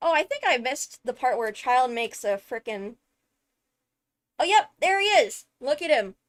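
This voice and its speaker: A woman giving casual, excited video commentary on a game trailer, chatty and thinking aloud with frequent interjections. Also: high-pitched, moderate pace, heavy background noise. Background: loud music from the video under commentary.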